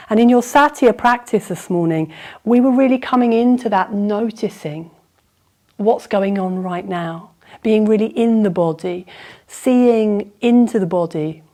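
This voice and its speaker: A woman teacher leading a guided yoga nidra meditation, improvising gently in a soothing, unhurried way with reflective pauses. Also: medium pitch.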